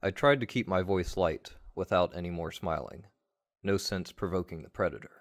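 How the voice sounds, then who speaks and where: A man narrating a first-person story in a measured, wry way, like a radio play. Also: deep voice.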